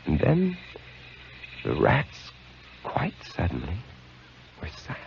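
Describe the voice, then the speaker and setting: A haunted lighthouse keeper. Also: male voice, deep voice, wildly swooping pitch, slow, gravelly, slight background noise, heavily acted. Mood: haunted, hushed. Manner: hushed, dramatic storytelling with sudden swells. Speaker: lead actor narrating in first person. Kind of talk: 1950s radio drama narration. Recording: lo-fi old radio broadcast with music.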